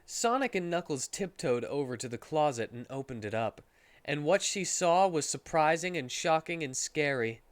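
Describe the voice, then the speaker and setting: A man's voice: fairly high pitch, animated, moderate pace, dry recording. A man reading a story aloud in a mock-dramatic narrating style, as a streamer.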